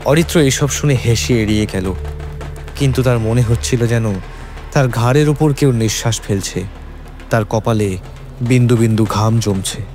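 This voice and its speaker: A man's voice: mid-range voice, normal speed, pauses often, darkish tone. A man reading a scripted horror story as a dramatic narrator. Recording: music under the voice. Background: background music.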